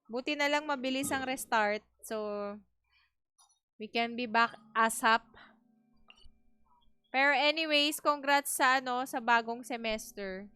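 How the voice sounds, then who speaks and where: A Filipino-accented woman with a high, bright voice streams a game. She chats casually and switches between languages, with some game music behind her. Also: measured pace, long pauses, clean tone, no room sound.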